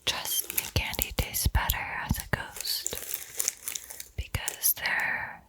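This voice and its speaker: A woman whispering softly and breathily in a soothing, playful ASMR roleplay as she guides a painting tutorial. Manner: slow, soothing, playfully teasing. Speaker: art tutorial host.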